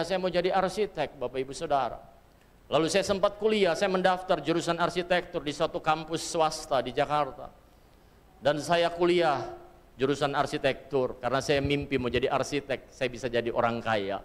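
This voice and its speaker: A middle-aged pastor from Papua, Indonesia, preaching a sermon and telling his own life story earnestly to the congregation. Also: on the high side. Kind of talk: sermon with personal testimony. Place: church hall. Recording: long church hall reverb.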